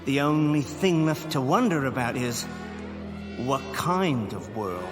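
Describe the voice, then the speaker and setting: An actor playing an educated, haughty criminal mastermind in a radio drama, speaking in a formal, theatrical, articulate way. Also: male voice, medium pitch, expressive, a little slow, over loud music, natural voice. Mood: grandly eloquent. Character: educated, haughty criminal mastermind nicknamed Professor, wary and verbose.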